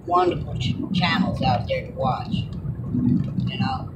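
Loud car noise surrounds a man with a Southern US accent, picked up from some distance away. His voice sits quite high for a man. He rambles along in a folksy, colloquial vlog style as he drives. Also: huge pitch swings, slow, highs cut off. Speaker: vlogger. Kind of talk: casual rambling chat while driving. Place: inside a moving car on a road.

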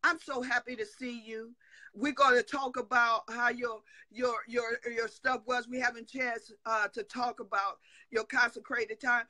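Woman, middle-aged, fiery preaching host, bright tense voice, dry close sound. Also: on the high side, fairly even intonation, measured pace, no echo. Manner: emphatic, declaring, with call-and-response asides. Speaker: host of a live prophetic talk stream. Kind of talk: fiery preaching-style talk. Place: indoor room at home. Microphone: webcam on a live video call.

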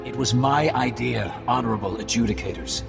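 An actor playing a solemn male alien mystic in a video game, speaking in a grave, formal, ceremonial way with a gravelly voice. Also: mid-range voice, brisk, over loud music.

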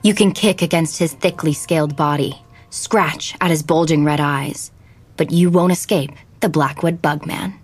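A woman's voice: deep voice, steady tone, moderate pace, breathy edge, faint music behind. An actress playing an eager, bossy teenage girl hosting an amateur podcast in an audio drama. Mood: dramatic. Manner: take-charge, earnest.

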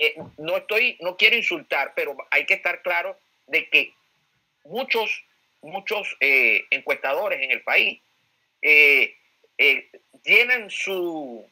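A man's voice: on the high side, pushed and bright, low-quality recording. A Venezuelan man giving political commentary as a pollster, emphatic, repeating key phrases and posing rhetorical questions. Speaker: pollster, guest speaker.

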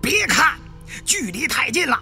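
A man's voice: extremely high pitch, sing-song, a little slow, vocal fry, bright, forceful tone, faint music behind, pitched above the performer's own voice, exaggerated voice. A man voicing a young tomb-raiding adventurer with an urgent manner, in an audiobook. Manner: urgent warning.

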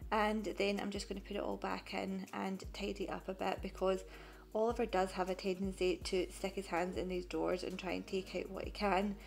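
A woman's voice: medium pitch, flat delivery, fairly quick, gravelly, a little hiss or hum. A young woman narrating her cleaning vlog over the footage in a chatty, matter-of-fact way. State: pregnant. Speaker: cleaning vlogger.

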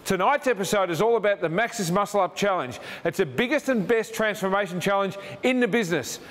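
Man, middle-aged, TV host, enthusiastic, high voice, gym reverb, faint music bed. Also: fairly bright tone. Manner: enthusiastic, addresses viewers as folks. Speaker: TV show host. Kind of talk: upbeat presenting to camera. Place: indoor gym. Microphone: standing to camera, medium shot.

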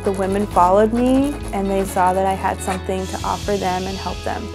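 A woman's voice: medium pitch, fairly even intonation, a little fast. A young woman in an interview, earnestly telling her story in a chatty way with lots of "like" fillers. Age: young adult. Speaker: fashion designer and boutique owner. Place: indoor room, seated across from interviewer. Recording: soft music underneath.

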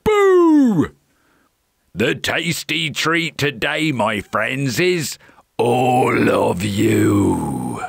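A man voicing a hungry, tricky storybook troll in a booming, menacing manner. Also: wildly swooping pitch, extremely slow, gravelly, husky, no room sound, clean studio sound, heavily acted. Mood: gleeful menace.

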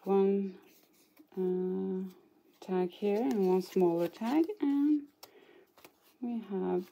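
Slow, mellow Norwegian woman showing crafts. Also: mid-range voice, creaky. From Norway. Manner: chatty, hesitant, apologetic, giggles now and then. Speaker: craft channel host. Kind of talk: casual show-and-tell of a scrapbook album. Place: indoors at a craft table.

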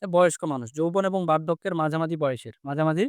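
Man, Bangladeshi Bengali accent, teacher, fairly high pitch, repetitive, questioning, conversational lesson delivery, dry recording. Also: fast, clear, resonant tone, dry recording. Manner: conversational, repeats phrases and asks check questions. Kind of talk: interactive vocabulary lesson, explaining and quizzing.

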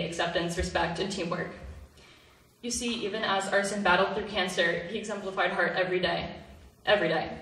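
A high school girl giving an earnest prepared tribute speech at a school concert, with some hesitant fillers. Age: teens. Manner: earnest, reading from notes with fillers. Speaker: student speaker. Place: school auditorium. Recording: echoey hall, voice from far off.

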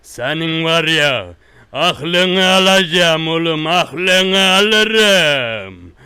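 Prank-call menace: man playing a boastful country tough guy, high bright voice, unhurried threats. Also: animated, clean tone, no room sound, full character voice. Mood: menacing. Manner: slow drawn-out threats, repetitive. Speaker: prank caller. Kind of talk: one-sided prank call.

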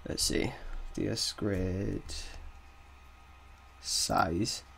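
A man with a cold, sounding a little croaky, thinking aloud through a coding tutorial with hesitant pauses. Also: deep voice, fairly even intonation, a bit bright, a little hiss or hum. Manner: thinks aloud while typing, hesitant, chuckles at mistakes. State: has a cold, slightly croaky. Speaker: game development tutor. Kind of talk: casual screen-recorded coding tutorial. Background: keyboard typing.

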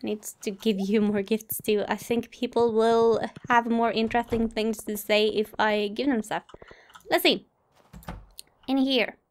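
High-voiced woman chatting through a game. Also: steady tone, measured pace. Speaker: gaming video host. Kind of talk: casual let's play commentary, reading dialogue aloud. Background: game music.

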